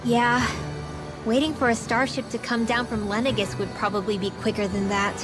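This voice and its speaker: High-voiced, hesitant teenage girl played by a woman. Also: little pitch movement, moderate pace, over loud music, close to an everyday voice. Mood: doubtful. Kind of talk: English dubbed video game cutscene acting.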